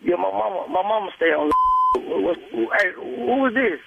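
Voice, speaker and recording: A man with a very high-set voice argues heatedly over the phone. He is blunt and indignant and keeps repeating himself. The line is muffled, with faint noise behind him. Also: expressive, normal speed, creaky. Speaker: prank call victim.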